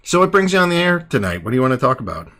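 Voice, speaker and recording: A man's voice: medium pitch, rapid-fire. Chatty male talk-show host. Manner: relaxed, chatty, many fillers and restarts. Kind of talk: casual live call-in chat.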